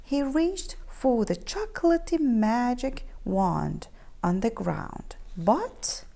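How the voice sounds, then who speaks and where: A woman reading a picture book aloud to children in an expressive, animated storytelling style, inviting them to join in. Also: on the high side, takes it slowly, creaky. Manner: expressive, asks the listening children questions.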